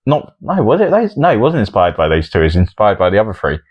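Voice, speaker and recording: Man, deep low pitch, casual chat, podcast host. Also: wildly swooping pitch, fast, slightly rough, no echo, lo-fi sound.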